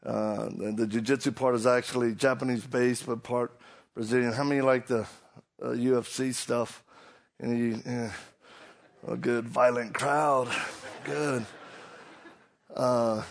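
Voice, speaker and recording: A man's voice: medium pitch, flat delivery, unhurried, creaky, long pauses. A middle-aged man from Louisiana with a Cajun accent, a preacher giving a hyped-up, self-deprecating comic talk to a live audience. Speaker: preacher and motivational speaker.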